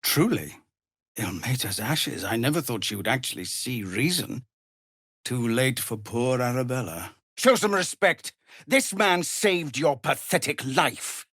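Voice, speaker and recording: An actor playing a weary, honourable tiefling ex-paladin leading refugees in a fantasy game, husky and breathy, with grave, measured, formal delivery. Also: male voice, mid-range voice, expressive, a bit bright, no room sound, clean studio sound, heavily acted. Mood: solemn, earnest, then angry, commanding. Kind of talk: dramatic fantasy game voice acting.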